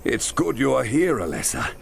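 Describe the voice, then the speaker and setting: A man's voice: medium pitch, huge pitch swings, moderate pace, gravelly, slight background noise, exaggerated voice. A man voicing a weary, worried male leader of a forest folk in a video game, earnest and grave. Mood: pleading. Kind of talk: video game cutscene voice acting.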